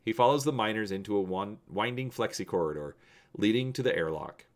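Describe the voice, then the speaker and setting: A man presenting as a channel host and narrating an adventure story with expressive, theatrical emphasis. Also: on the low side, fairly quick. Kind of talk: dramatic storybook reading and presenting.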